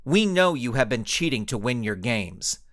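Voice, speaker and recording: A man's voice: mid-range voice, very animated, normal speed, fairly bright tone. A man voicing a stern, belligerent female superhero in a story, barking clipped commands.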